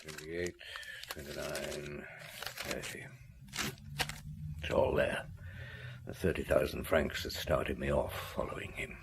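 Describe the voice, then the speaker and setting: An actor playing a grave, methodical French police chief inspector who asks measured, probing questions in a radio drama. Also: male voice, very deep voice, fairly even intonation, vocal fry, noisy background, exaggerated voice. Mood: businesslike.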